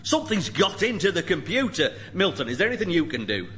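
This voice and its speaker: An actor playing a put-upon, plain-spoken, grumbling shopkeeper baker in a radio sitcom. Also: male voice, sits high, sing-song, fast talker, noisy background. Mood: exasperated. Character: put-upon, gloomy male small-shop baker.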